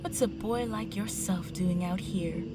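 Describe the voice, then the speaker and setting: A woman playing a seductive, possessive vampire countess in a roleplay audio drama, elegant and teasing, with a breathy delivery that drops into whispers. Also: mid-range voice, close to an everyday voice. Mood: coy, mock-sympathetic. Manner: teasing, condescending, elegant, slow and deliberate. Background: music.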